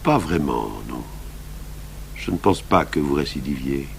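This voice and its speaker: An actor playing a calm, shrewd middle-aged police commissioner in a radio crime drama, speaking in a measured, deliberate, probing way. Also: male voice, rumbling low, heavily acted. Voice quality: gravelly. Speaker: lead detective and first-person narrator. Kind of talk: 1980s French radio crime drama acting. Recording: old radio broadcast recording, faint hum and hiss.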